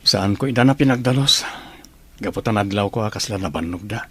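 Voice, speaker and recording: A man's voice: on the low side, a little fast, natural voice. A man with an Ilocano accent playing a patient, humble schoolteacher in an audio drama. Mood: concerned. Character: earnest, patient male schoolteacher, humble and hardworking. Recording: clearly noisy background.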